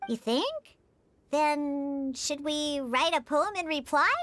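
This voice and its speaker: A woman voicing an excitable, sentimental young girl bartender in a video game, with an expressive girlish delivery. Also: high voice, slow, background noise. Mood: curious.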